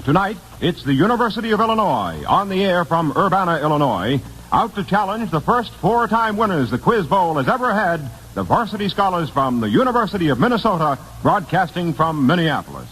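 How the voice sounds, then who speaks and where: A man's voice: sits high, sing-song, fairly quick, fairly bright tone, a little hiss or hum, poor audio. An American man announcing a 1950s radio quiz show from a script, formal and emphatic, on an old broadcast recording.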